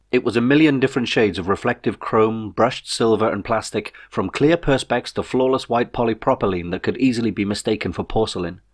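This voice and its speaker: A man reading his own novel as a scripted audiobook, in a measured storytelling manner. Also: on the low side.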